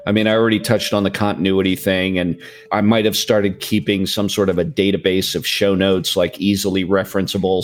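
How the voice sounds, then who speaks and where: A man chatting on a podcast, giving earnest, relaxed advice to new podcasters over a USB mic. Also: deep voice. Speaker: podcast guest. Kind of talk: casual podcast chat. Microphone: USB condenser mic.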